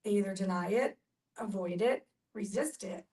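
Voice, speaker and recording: A woman's voice: medium pitch, moderate pace, a little mellow, far from the mic. A woman speaking earnestly in a reflective group discussion, explaining her points and repeating words for emphasis.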